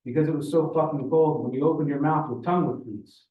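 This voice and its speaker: A man's voice: fairly high pitch, fairly even intonation, fast talker, voice pushed up, natural voice. Older Russian patriarch, played by a male story reader, teasing philosopher, folksy phrasing, roomy muffled recording. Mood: wry, expansive. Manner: pronouncing, folksy maxims in non-native phrasing. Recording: echoey room, distant muffled mic.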